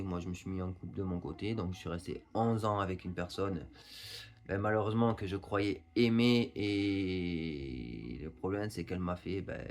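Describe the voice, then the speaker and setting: A man in his thirties chatting casually beside his partner, hesitant and full of fillers. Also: deep voice. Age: 30s. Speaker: vlogger's partner. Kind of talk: casual chat.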